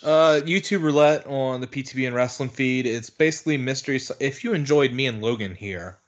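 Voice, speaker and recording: A man's voice: medium pitch, a little fast, lo-fi sound. A man appearing as a guest on a casual podcast, talking bluntly and swearing freely.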